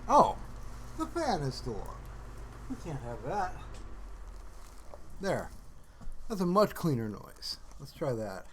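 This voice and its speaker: A man chats to his livestream in an unhurried, musing way, with a fairly high voice that swings widely in pitch. He is playfully mock-earnest. A faint background noise sits behind him. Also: wildly swooping pitch. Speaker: livestreamer hosting his own stream. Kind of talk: casual, rambling livestream commentary to viewers.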